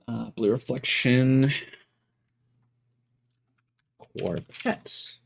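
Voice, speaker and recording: A man live streaming a video game, chatting casually and thinking aloud in a halting way as he troubleshoots. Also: medium pitch, creaky, breathy edge.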